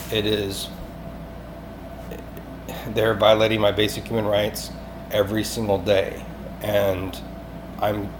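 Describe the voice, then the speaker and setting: A man leaving a rambling voicemail, pleading in an agitated, insistent, repetitive way with some swearing. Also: lowish voice. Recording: clearly noisy amateur phone-call recording.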